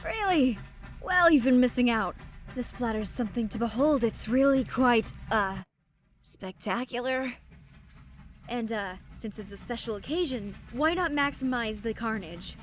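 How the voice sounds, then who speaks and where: A woman's voice: fairly high pitch, animated, measured pace. A woman voicing a cheeky, verbose, manic male rogue, theatrical and flippant, in a comic fan dub. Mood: sly.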